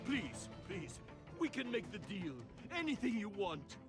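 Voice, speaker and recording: A man's voice: high-pitched, very animated, measured pace, over music. A man voicing a blustering, hot-tempered casino boss in a video game, agitated in manner. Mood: pleading.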